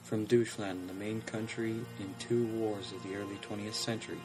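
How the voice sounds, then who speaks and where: Man, low and monotone, measured poem reading; set back from the mic with strumming guitar behind. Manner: flat, measured recitation. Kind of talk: reading a personal poem aloud. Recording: distant voice over loud guitar music.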